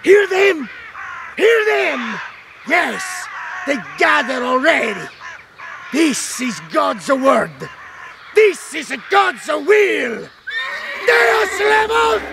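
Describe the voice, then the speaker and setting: An actor playing a zealous crusader cardinal, preaching holy war in a declamatory, strained and raspy voice for a radio drama. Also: male voice, very high voice, very animated, drawn-out words, pauses often, noisy background, full character voice. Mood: fervent exhortation. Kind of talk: fire-and-brimstone sermon in radio drama.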